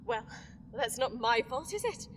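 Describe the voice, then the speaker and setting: A scheming empress villain who taunts her captives in a sing-song, petulant way, played by a woman. Her pitch swings widely and sits a little high. The voice carries a long reverb with faint ambience behind. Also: sing-song, a little slow, a little hiss or hum. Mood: taunting.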